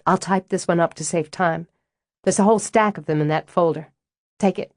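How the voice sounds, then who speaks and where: A no-nonsense office manager, as a woman narrator voices her, crisp and dry in delivery. Her voice runs a touch low, with a close, dead-dry sound. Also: fairly quick, no room sound, lightly acted. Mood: brisk. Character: brisk, buttoned-up northern office manager woman.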